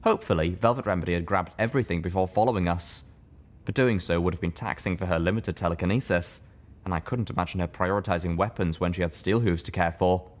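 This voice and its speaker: A man with a deep, low voice narrates a story in the first person. He reads from a script in the manner of an audiobook. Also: quick pace. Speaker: fan fiction reader, narrator.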